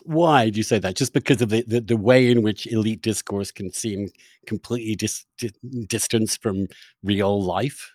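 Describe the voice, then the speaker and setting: A man's voice: on the low side, darkish tone, dry recording, studio quality. A man hosting a conversational interview, asking probing questions and often rephrasing them as he goes. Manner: halting, rephrases questions repeatedly. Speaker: interviewer, podcast host.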